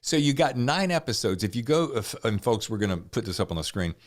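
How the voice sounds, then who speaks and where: A man's voice: medium pitch, big pitch swings, a little fast. A man in his sixties hosting a podcast, chatting casually and informally as he interviews guests, with a jokey manner. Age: 60s. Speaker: podcast host.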